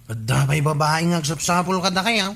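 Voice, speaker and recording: A man's voice: a little high, brisk, vocal fry, fairly bright tone. A man playing a cheeky, prodding younger Filipino relative of a village captain in a radio comedy drama.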